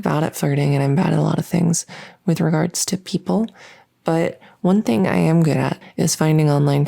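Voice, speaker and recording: A woman playing an awkward, lonely female vampire in an ASMR roleplay, speaking in a halting, earnest, rambling way to the listener. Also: low voice, creaky, dark tone.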